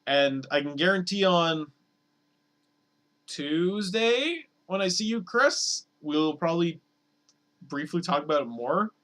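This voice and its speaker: Slightly hoarse man streaming, rambling chat. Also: a little high, animated, takes it slowly, long pauses, fairly bright tone. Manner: rambling, filler-heavy, repeats words. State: slightly hoarse, losing his voice. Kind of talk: casual live-stream chat over gameplay.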